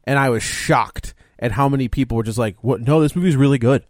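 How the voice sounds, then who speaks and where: Man co-hosting a film podcast, quick banter, dry close mic. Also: medium pitch, no room sound. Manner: joking, banter-heavy. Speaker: podcast co-host. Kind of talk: casual podcast chat.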